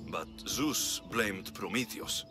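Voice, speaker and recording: An actor playing a brooding mercenary hero in a game cutscene, terse and serious. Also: male voice, lowish voice, slow pace. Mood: questioning. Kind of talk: dramatic game cutscene acting. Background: music.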